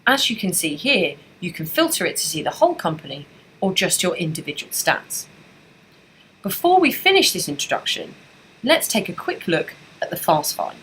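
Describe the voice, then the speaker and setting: A woman narrating a scripted software tutorial as a trainer, explaining things step by step in an instructional way. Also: lowish voice, big pitch swings, normal speed, husky, poor audio.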